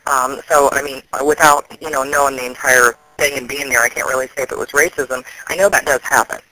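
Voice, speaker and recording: A man, an activist, explaining things in a serious phone conversation, often halting and restarting. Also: medium pitch, fairly even intonation, pushed and bright, rough recording.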